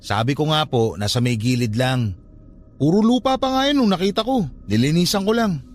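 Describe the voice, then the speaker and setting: Animated, defensive young nephew played by a man. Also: fairly high pitch, normal speed, soft background music, natural voice. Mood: sheepish. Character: defensive, curious young nephew caught in mischief. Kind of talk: radio drama acting.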